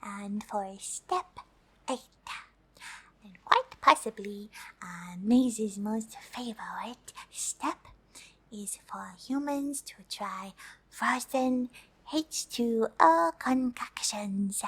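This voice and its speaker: A woman's voice: on the high side, animated, drawn-out words, slightly rough, heavily acted. A woman voicing a friendly, polite alien who is curious about humans, speaking hesitantly in an ASMR roleplay. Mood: curious, gentle. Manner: hesitant, speaks of self in third person.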